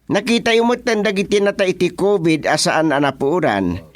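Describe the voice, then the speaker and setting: A man's voice: high voice, lively intonation, normal speed, no room sound. A man with an Ilocano accent playing a scheming, persuasive village chairman in a comedy radio drama. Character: scheming village chairman planning a coffin business.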